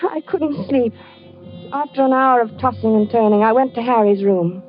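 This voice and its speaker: Actress as high-voiced haunted wife, vintage radio suspense. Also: female voice, normal speed, natural voice. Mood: wistful, uneasy. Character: anguished wife haunted by her husband's fatal dreams, narrating. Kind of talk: old-time radio suspense acting, first-person narration. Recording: dull vintage radio recording with music.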